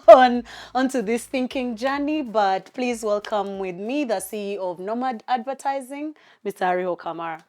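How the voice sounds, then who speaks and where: A woman hosting a talk show, introducing and teasing her guest with a playful, laughing manner. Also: fairly high pitch. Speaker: host.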